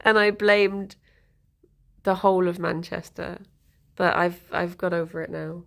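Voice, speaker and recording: A woman's voice: lowish voice, steady tone, measured pace, gravelly, fairly bright tone. A woman streaming live, chatting casually with her viewers and answering their messages in a rambling, giggly way. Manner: chatty and rambling, laughs often. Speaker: streamer reading chat.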